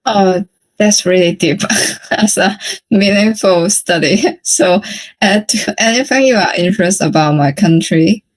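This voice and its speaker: A woman's voice: mid-range voice, measured pace. A woman from Hong Kong with a Hong Kong accent and Cantonese as her native language, chatting casually and politely in English practice, with some hesitation. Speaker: English conversation practice participant. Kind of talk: casual chat.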